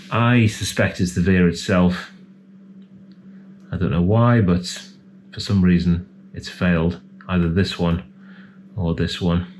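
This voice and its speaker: Deep voiced guy doing a repair walkthrough, kinda tentative, thinking out loud, bit of background noise. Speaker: electronics repair technician presenting a repair. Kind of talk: casual hands-on repair commentary.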